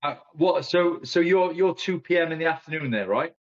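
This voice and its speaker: A man's voice: a little high, expressive, moderate pace. British guy chatting on a video call, friendly, keeps restarting, muffled lo-fi sound. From Britain. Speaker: band member. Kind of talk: casual video call chat. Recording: dull lo-fi call audio.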